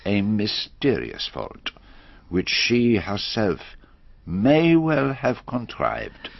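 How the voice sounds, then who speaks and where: An actor playing a courteous, shrewd detective, formal and deliberate, in a radio drama. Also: male voice, fairly low pitch, wildly swooping pitch, vocal fry, no room sound, muffled recording, exaggerated voice. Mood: sly, deliberate. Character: courteous, shrewd foreign male detective, former policeman.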